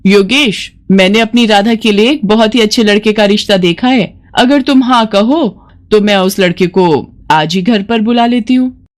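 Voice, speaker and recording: A sly, scheming cartoon sister-in-law, acted by a woman storyteller. She is persuasive and put-upon, and her pitch often lifts a little above a middle female range. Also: moderate pace, natural voice. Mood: sly, coaxing. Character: scheming, manipulative sister-in-law who wheedles her husband.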